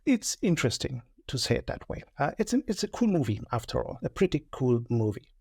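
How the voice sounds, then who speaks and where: A man's voice: mid-range voice, very animated, brisk, gravelly, a little mellow, slightly rough, no echo, studio quality. A man in his forties from Germany with a German accent, chatting casually and joking about himself as a podcast guest. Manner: chatty, self-deprecating, joking. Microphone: studio mic.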